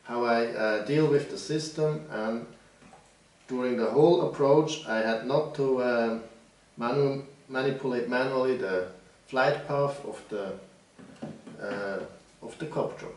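A man in his forties explains his work in halting. The pace is slow and unscripted. The room rings heavily around his voice, which sits at some distance from the microphone. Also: mid-range voice, a little mellow. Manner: halting, hesitant delivery. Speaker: developer presenting his project. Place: bare, echoey living room. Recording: echoey room, distant mic.

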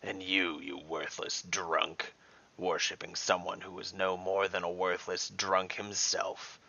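Man voicing a hissing, slow, creaky dragon. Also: low voice, level tone, sharp tone, dull audio, full character voice. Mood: menacing, contemptuous. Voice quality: hissing, breathy whisper. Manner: slow, deliberate. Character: sinister taunting male dragon, menacing and mocking.

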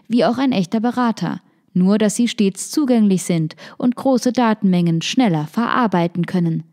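A woman's voice: mid-range voice, warm tone. A woman reading a scripted podcast narration, like a written article read aloud.

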